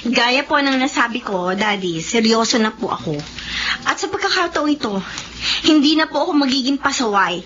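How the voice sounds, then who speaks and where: A woman's voice: on the high side, expressive, moderate pace, close to an everyday voice. A woman playing a whiny, pampered, flighty young adult daughter, girlish and pleading, in a radio drama. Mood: pleading, eager. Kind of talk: Filipino radio drama acting. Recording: dull lo-fi radio, faint noise.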